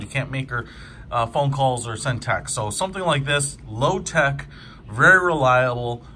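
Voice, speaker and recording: Bright-voiced man, casual and enthusiastic. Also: mid-range voice, a little hiss or hum. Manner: enthusiastic, informal, conversational. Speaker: product reviewer. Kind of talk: casual hands-on review.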